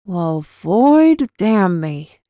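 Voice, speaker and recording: A woman playing a spirited, caring adventurer in an audio drama, brisk, with salty folksy oaths. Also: mid-range voice, very animated, dark tone, no echo, clean studio sound. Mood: wry. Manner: colorful folksy oaths, addresses others as lad and lass. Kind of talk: audio roleplay drama acting.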